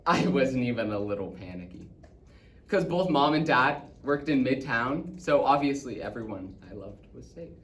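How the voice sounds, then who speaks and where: A man's voice: medium pitch, normal speed, lightly acted. Man acting as an earnest young boy, echoey and distant. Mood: quietly anxious. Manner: earnest, theatrical, swinging from excited to hushed. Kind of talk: dramatic monologue in speech competition style. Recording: distant echoey room, faint noise.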